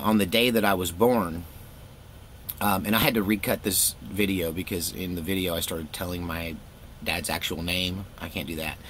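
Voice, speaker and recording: A man's voice: deep voice, a bit bright, a little hiss or hum. A middle-aged man telling personal stories in a casual, rambling, laid-back way with wry self-deprecation. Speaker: storytelling vlogger, former youth pastor.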